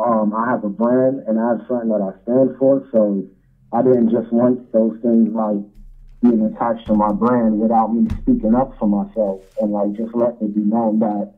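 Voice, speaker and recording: A man with a New York accent and a husky, monotone voice, speaking casually as a phone interview guest over a muffled line. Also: mid-range voice. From Brooklyn, New York. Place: at home on a phone. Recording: muffled phone line, faint music behind.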